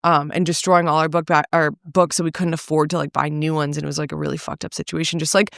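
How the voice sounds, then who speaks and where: Vocal-fry woman talking frankly. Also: deep voice, flat delivery, fast, a bit bright, dry recording, studio quality. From Salem, Massachusetts. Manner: frank, run-on talk with frequent fillers and mild swearing. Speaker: podcast guest, reality show cast member. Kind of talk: candid conversational interview.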